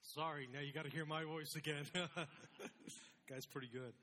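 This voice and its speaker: Higher-voiced male pastor with background noise. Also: steady tone, fast, sharp tone. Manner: casual, self-deprecating. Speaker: pastor preaching to a congregation. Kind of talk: informal conversational sermon.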